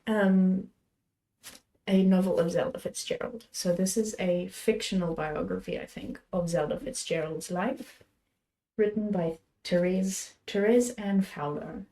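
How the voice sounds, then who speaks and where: Young woman, slightly hoarse, warm mellow tone, chatty rambling book talk. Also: mid-range voice, unhurried, poor audio. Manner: rambling, chatty, self-correcting. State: slightly hoarse, a little sick. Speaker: book channel host. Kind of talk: casual chat about books.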